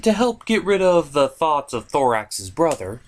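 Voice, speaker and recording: A man's voice: a little high, sing-song, measured pace. A man narrating a scripted comedic review as host, in a sarcastic, theatrical way.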